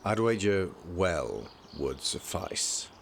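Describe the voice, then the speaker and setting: A male actor gives us a young prince of dry, formal wit in an audio drama. The voice is very low with a gravelly fry, delivered deadpan over faint background noise. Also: slow, full character voice. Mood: sardonic, bored. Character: dry, sardonic young prince, reluctant university student.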